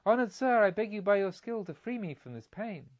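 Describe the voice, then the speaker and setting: A man's voice: high voice, lively intonation, brisk, dry recording, dull audio, pitched above the performer's own voice. A man voicing a reasonable woman patient in a storybook, asking politely and earnestly for help. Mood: polite, pleading.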